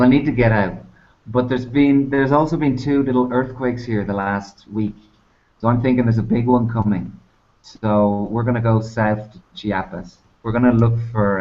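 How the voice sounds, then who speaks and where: A man's voice: lowish voice, a little mellow. A man co-hosting an online talk show, chatting in a rambling, informal, sweary way over a lo-fi internet call. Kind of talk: casual two-person chat. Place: remote online video hangout.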